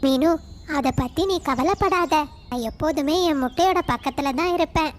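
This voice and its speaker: A woman voicing a bossy, fretful mother crow who pleads and scolds in an animated moral story. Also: high-pitched, moderate pace, slight background noise, natural voice. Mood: insistent.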